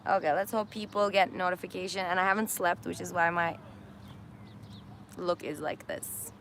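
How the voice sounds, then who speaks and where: A woman's voice: on the low side, fairly even intonation, moderate pace, fairly bright tone, a little hiss or hum. A woman hosting a casual live stream, laughing often and losing her voice. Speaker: host of an Instagram live. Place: indoors in Armenia.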